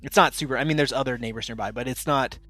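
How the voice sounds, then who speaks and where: A man's voice: medium pitch, fast talker, bright, forceful tone, no echo, soft background music. A man running a tabletop game as game master, talking in a loose, playful way with restarts.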